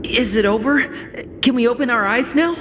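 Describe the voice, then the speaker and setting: An actress playing an earnest, questioning young adventurer in a video game. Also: female voice, a little high, moderate pace, background noise, close to an everyday voice. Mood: confused, earnest. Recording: long hall reverb under music.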